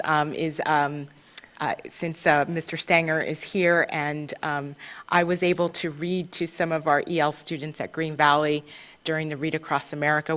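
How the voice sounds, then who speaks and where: Low-voiced woman speaking with many fillers. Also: fairly bright tone. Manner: halting, many fillers and restarts. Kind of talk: remarks at a school board meeting. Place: meeting room.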